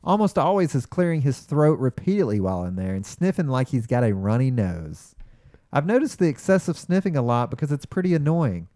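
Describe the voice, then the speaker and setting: A man's voice: medium pitch, sing-song, a little fast, mellow, no echo. A man co-hosting a casual podcast with dry, wry remarks. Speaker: podcast co-host. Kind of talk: casual podcast talk, partly reading a listener email aloud.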